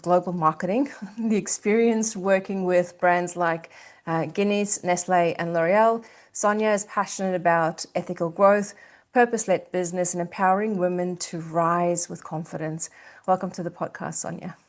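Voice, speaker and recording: A woman's voice: fairly low pitch, steady tone, moderate pace. A woman hosting a podcast, gushing and effusive, with lots of hesitant fillers. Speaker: podcast host. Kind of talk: casual podcast interview.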